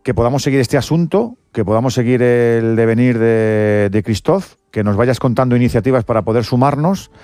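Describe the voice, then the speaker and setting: A man's voice: medium pitch, normal speed, few pauses, dark tone, no room sound. A man with a Castilian Spanish accent hosting a live sports radio show, speaking emphatically and repeating words as he searches for phrases. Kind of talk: live radio interview and commentary.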